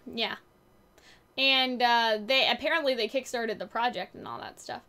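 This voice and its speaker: A woman hosting a gaming channel, chatting casually and reading aloud from a web page in an expressive way. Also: on the high side, moderate pace.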